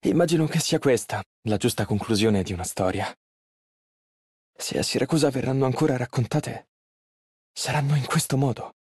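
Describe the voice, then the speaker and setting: A man's voice: mid-range voice, moderate pace, long pauses, darkish tone, breathy edge, dry recording, clean studio sound. An actor playing a polite, earnest and easily flustered young male tailor in an Italian game dub. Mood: calm, reflective. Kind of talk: video game character voice lines, Italian dub.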